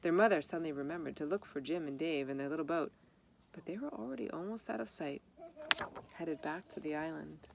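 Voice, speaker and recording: Low, creaky-voiced woman reading a storybook. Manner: expressive, acts out dialogue. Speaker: storybook reader. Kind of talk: read-aloud children's story with informal asides on the pictures. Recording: noticeable background noise.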